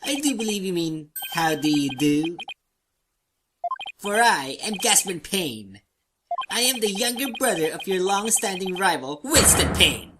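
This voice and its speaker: A woman's voice: fairly low pitch, big pitch swings, unhurried, background noise. A woman voicing a smug, sneering male prosecutor with a pompous, condescending manner in a video game fandub. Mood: smug, taunting. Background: prominent game music.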